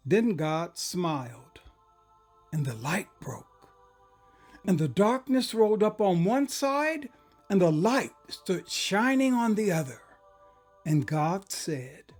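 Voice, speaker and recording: A man recites a poem in a grand, theatrical style. His delivery is slow and reverent, with a very animated pitch that swings between hushed softness and booming proclamation. Music plays underneath his voice. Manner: solemn, reverent, swelling from hushed whispers to booming declamation. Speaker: poet performing a dramatized poem. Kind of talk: theatrical dramatic poetry recitation.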